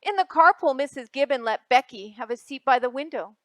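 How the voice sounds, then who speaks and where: A woman's voice: high-pitched, animated, fairly quick, dry recording, studio quality. A woman voicing an aggrieved, sulky little boy from a storybook, complaining emphatically about his bad day.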